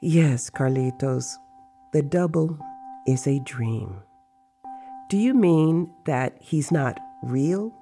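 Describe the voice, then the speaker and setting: Male narrator, dark mellow tone with gravelly fry, deliberate dramatic storytelling. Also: fairly high pitch, lively intonation, soft background music. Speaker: narrator reading book excerpts.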